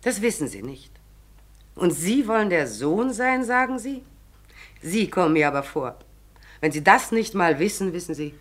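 Brusque, callous housewife, animated voice. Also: female voice, lowish voice, normal speed. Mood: dismissive. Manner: blunt, offhand, sardonic. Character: brusque, callous, gossipy woman, new tenant at the door. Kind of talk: 1940s German radio drama acting.

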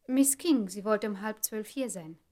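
An actress playing an efficient, polite secretary in a German radio drama, brisk and matter-of-fact. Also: female voice, medium pitch, mellow, lightly acted. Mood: businesslike. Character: efficient, polite female secretary reporting to her boss.

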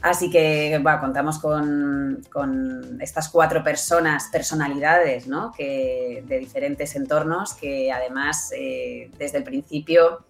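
A woman with a Castilian Spanish accent and a low voice chats as a guest in a casual interview. She sounds enthusiastic and often seeks agreement with short tag questions. Faint music plays behind her. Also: fairly even intonation, takes it slowly, vocal fry, hardly any pauses. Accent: Spain. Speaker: podcast producer and event presenter, interview guest.

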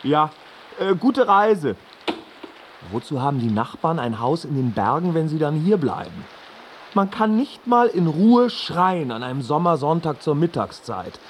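A man's voice: on the high side, sing-song, measured pace, slight background noise. An actor in a radio play voicing an irritable middle-aged family man who grumbles to himself. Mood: strained politeness. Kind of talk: naturalistic radio play acting.

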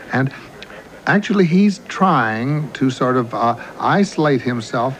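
A man talking descriptively in a halting, hesitant way for a radio documentary. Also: medium pitch.

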